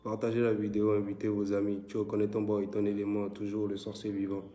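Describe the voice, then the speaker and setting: A man with a West African French accent and a cold, greeting and shouting out to viewers on a live stream. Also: fairly low pitch, monotone, quick pace, reverberant. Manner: loud, repetitive greetings and shout-outs to viewers. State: congested with a cold.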